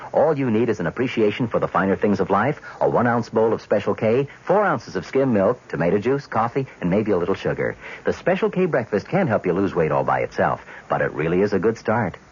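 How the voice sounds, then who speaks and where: A man's voice: lowish voice, very animated, fairly quick, few pauses, a little mellow, husky. A man reading a radio commercial in a friendly, conversational way. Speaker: commercial announcer. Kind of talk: radio advertisement. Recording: dull lo-fi vintage radio broadcast.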